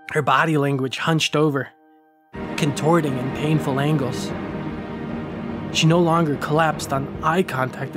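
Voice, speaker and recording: A man narrating a first-person horror story with measured, slow phrasing, who also hosts the channel. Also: a little high. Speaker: audio drama creator and narrator.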